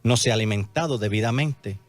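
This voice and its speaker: An actor playing a grave, frank medical attendant, serious and formal, in a religious radio drama. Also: male voice, lowish voice, brisk, no room sound, soft background music, lightly acted.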